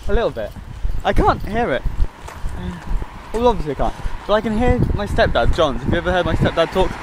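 A young man with a light Gloucestershire accent and a fairly high voice chats and giggles, easily thrown off his train of thought. He holds a phone at arm's length on a dark street at night. Loud wind buffets the mic. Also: very animated, fairly quick. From England. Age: young adult. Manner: chatty, giggly, easily distracted. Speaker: vlogger. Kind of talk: rambling casual vlog. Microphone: phone held at arm's length.

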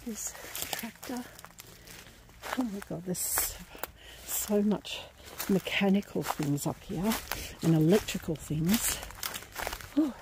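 A woman exploring outdoors, giving excitable commentary with frequent laughs and gasps. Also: medium pitch, slow pace, pauses often, background noise. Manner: excitable, laughs often, breaks into gasps and whispers. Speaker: vlogger exploring abandoned places. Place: outdoors on an overgrown rural property.

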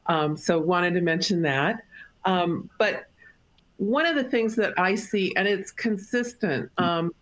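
A woman's voice: medium pitch, fairly bright tone. A middle-aged woman speaking directly and conversationally on a video-call panel. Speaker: industry panelist. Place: home room.

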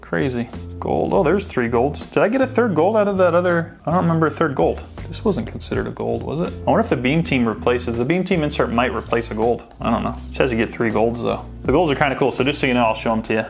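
A man with a gravelly, mid-pitched voice talks casually through opening packs of cards, thinking aloud as he goes. Faint music plays underneath. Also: fast talker. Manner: chatty, thinks aloud while sorting cards. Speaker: host opening sports card packs. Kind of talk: casual unboxing commentary.

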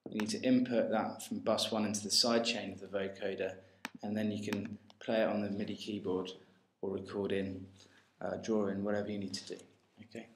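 A man giving an informal, hesitant step-by-step software tutorial as a music technology tutor. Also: lowish voice, little pitch movement, brisk. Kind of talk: screencast tutorial narration. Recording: roomy, faint noise with mouse clicks.

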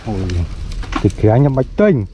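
Male angler, casual outdoor chat, animated intonation, clear field noise behind. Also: mid-range voice, normal speed, dull audio. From Cambodia. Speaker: angler in a fishing vlog. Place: open grassy field and trees, outdoors. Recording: noisy outdoor field recording.

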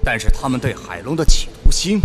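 An actor playing a proud retired tycoon speaking to the press in an audio drama, measured and defiant. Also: male voice, medium pitch, sing-song, natural voice. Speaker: retired company founder. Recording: studio voice with music bed.

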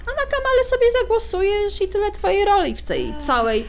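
Actress as a blunt, animated middle-aged mother. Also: extremely high pitch, fairly quick, soft background music, exaggerated voice. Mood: wry, chiding. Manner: animated, sighing, sardonic. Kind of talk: naturalistic audio drama acting.

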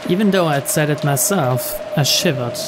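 Male story reader, unhurried slow pace, scripted narration, rainy noise behind. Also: on the high side. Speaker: reader of on-screen story text. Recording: clear rain noise behind.

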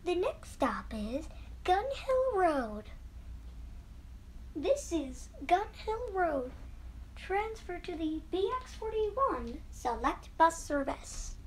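Woman, train announcer, slow-paced, formulaic scripted delivery, very high pitch. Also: big pitch swings, a little hiss or hum, low-quality recording.

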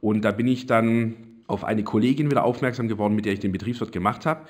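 A man from southwest Germany, a cooking teacher, telling his life story in a relaxed, rambling way. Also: on the low side, fast.

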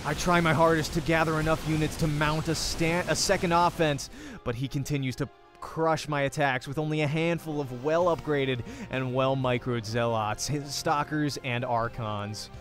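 A man's voice: fairly high pitch, fairly even intonation, moderate pace, music in the background. A young man hosting a let's play, reading a visual novel aloud in the first person with dramatic storytelling.

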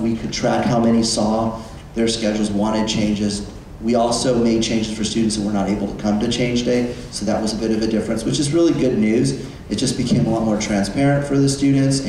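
A male school administrator talking casually to staff, with easy fillers and a relaxed pace. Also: fairly low pitch, slight background noise. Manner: relaxed, conversational, frequent fillers. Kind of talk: staff meeting presentation. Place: large auditorium. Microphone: distant room microphone. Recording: echoey hall, recorded from far off.

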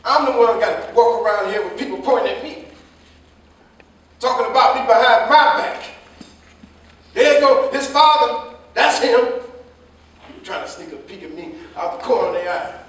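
A man's voice: very high-pitched, lively intonation, normal speed, long pauses, a bit bright, exaggerated voice. An American man acting a stern, proud older father, scolding and bitterly disappointed, in a staged play reading. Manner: scolding, reproachful. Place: indoor staged reading, recorded from afar. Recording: echoey stage, distant microphone.

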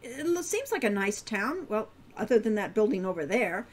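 A woman's voice: medium pitch, sing-song, moderate pace. A woman doing an eager teenage girl adventurer.

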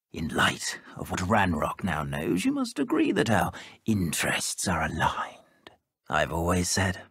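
An actor playing a scheming, condescending aristocratic wizard villain in a video game. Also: male voice, sits low, fairly bright tone, no room sound, full character voice. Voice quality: husky, smooth and condescending. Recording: dry studio recording.

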